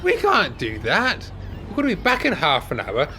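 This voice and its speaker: A man's voice: fairly high pitch, huge pitch swings, moderate pace, sharp tone, slight background noise, full character voice. An amateur actor playing an anxious, querulous older man, the reluctant sidekick in a radio play. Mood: exasperated. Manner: worried, querulous, exasperated. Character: anxious, fretful older male care-home resident, reluctant sidekick.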